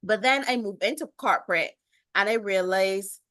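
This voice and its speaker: A Barbadian woman in her thirties speaks over a video call, expressive and emphatic as she introduces herself. Her voice sits at an average pitch. The sound is dry and close, with no room around it. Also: moderate pace, dry recording. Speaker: guest, business lifestyle strategist. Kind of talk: interview, personal introduction.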